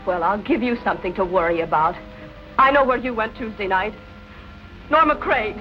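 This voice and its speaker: A woman an actress plays a bitter, jealous club performer in an old noir film. Her delivery is brusque and hard-edged. Music runs clearly behind her voice. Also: medium pitch, normal speed, highs cut off, natural voice. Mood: angry, threatening. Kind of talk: 1940s film noir acting.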